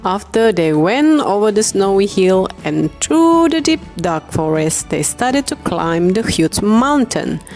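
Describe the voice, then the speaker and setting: A woman reading a children's bedtime story aloud in an animated, expressive way. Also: mid-range voice, a little slow, few pauses, soft background music. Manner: animated, acts out the dialogue. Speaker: storybook reader.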